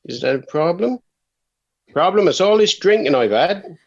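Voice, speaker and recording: A jokey pub boozer, acted by a man, heard through muffled lo-fi audio. His high, animated voice rambles and kids around in a radio comedy, pleased with his own wordplay. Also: moderate pace. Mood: joking. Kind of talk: radio comedy play reading. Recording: dull, lo-fi online audio.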